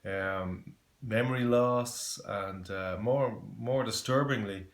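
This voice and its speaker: Man, 40s, Dublin Irish accent, low-pitched, earnest, halting, many fillers, echoey room. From Ireland. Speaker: testimony giver. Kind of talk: personal faith testimony.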